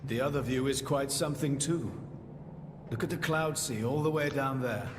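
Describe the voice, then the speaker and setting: A man's voice: mid-range voice, normal speed, natural voice. A man acting an earnest, wide-eyed young male adventurer in a video game cutscene. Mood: awed. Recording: game audio with clear background noise.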